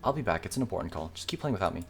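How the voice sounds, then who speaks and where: An easygoing young student girl from an anime visual novel, read by a man. The tone is friendly and lightly teasing, expressive, with music behind. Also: sits low, very fast, vocal fry, exaggerated voice. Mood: calm.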